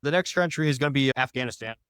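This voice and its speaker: A man speaks as a podcast guest in a conversational way. His voice is smooth and clean at a middling pitch, recorded close and dry, and his explanations wander loosely. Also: racing, a bit bright, no room sound. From United States. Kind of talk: conversational interview answers.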